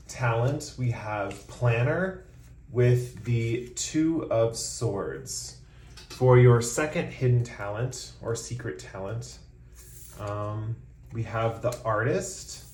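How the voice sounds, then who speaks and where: A man talks through a tarot reading in a loose, meandering way, full of ums, and slows down to name each card. His voice is wearing thin from losing it. He sits at an ordinary middle pitch in a room with a noticeable echo. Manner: relaxed, rambling, frequent ums, slows to announce cards. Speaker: tarot reader. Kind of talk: casual tarot reading to camera.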